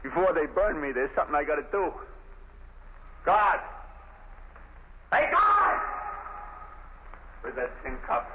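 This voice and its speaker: A man's voice: fairly high pitch, wildly swooping pitch, slow, pauses often, exaggerated voice. An actor playing a young condemned male killer, bitter and desperate, in a 1930s radio drama. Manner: swings from hushed dread to shouting. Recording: tinny old radio broadcast, faint hiss.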